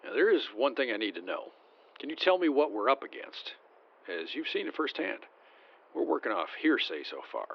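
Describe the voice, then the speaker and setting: A man playing a brisk, cocky American military scout in an audio drama. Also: mid-range voice, very animated, creaky, lo-fi sound. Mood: official, concerned.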